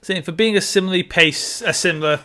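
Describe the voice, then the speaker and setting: A man's voice: sits high, fast talker, bright, forceful tone. A man co-hosting a podcast, talking casually in a film discussion. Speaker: podcast co-host.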